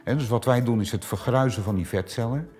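A man's voice: medium pitch, a little fast, darkish tone, soft background music. A middle-aged man who owns a clinic, explaining treatments with many hesitant filler sounds. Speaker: clinic owner. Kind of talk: explanatory interview answers. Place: small treatment room indoors.

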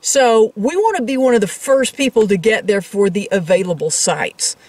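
A woman's voice: medium pitch, animated, moderate pace, a little mellow, dry recording, recorded from a distance. Woman chatting casually, slightly off-mic. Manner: conversational, addresses viewers as folks. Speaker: travel vlog co-host. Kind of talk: casual explanatory chat to camera.

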